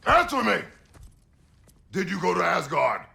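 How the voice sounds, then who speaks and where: Stern, gravelly warrior father. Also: high voice, sharp tone, heavily acted. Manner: terse, commanding. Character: stern, brooding warrior father, demanding and curt. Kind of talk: video game acting.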